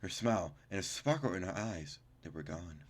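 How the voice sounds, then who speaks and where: A man's voice: deep voice, animated, moderate pace. A man reading game text aloud as a gaming YouTuber, stumbling and correcting himself, with casual reactions. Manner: stumbling, self-correcting reader.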